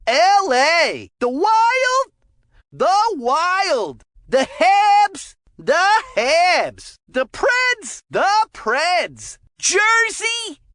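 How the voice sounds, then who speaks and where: Hyped up cartoon hockey game announcer, slow punchy callouts, super dry close recording. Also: very high voice, sing-song, pushed and bright, dry recording, full character voice. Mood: excited. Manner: exaggerated, punchy announcer delivery. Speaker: sports announcer. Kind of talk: game commentary, isolated team-name callouts.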